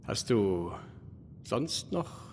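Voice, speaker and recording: Determined dad hero in a game, short questions, lively pitch, faint rumble behind. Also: male voice, extremely slow, big-room sound, heavily acted. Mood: questioning. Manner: terse, questioning. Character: determined father searching for his kidnapped son, terse adventurer. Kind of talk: video game dialogue.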